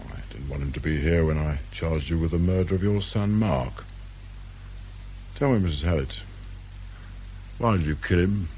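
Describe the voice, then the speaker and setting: A male actor plays a British police inspector with a low voice. He is calm and probing, measured and politely firm, in a radio crime drama with some background noise. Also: lightly acted.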